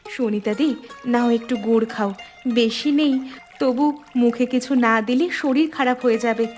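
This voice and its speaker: A woman's voice: fairly high pitch, moderate pace, a little mellow, close to an everyday voice. A woman playing a kindly village wife in a cartoon, speaking in an earnest, emotional way. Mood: tender, apologetic. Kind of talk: dramatic cartoon dialogue. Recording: music bed under the voice.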